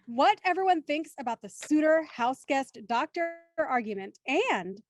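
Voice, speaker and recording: High-voiced woman hosting a casual panel. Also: expressive, measured pace. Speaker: host of a live show. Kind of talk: casual panel chat, moderating.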